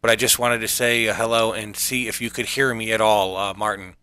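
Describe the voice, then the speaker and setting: A man speaking as an amateur radio operator in a casual contact, with measured, hesitant phrasing. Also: fairly low pitch, fairly even intonation, bright, forceful tone, clean tone, no echo.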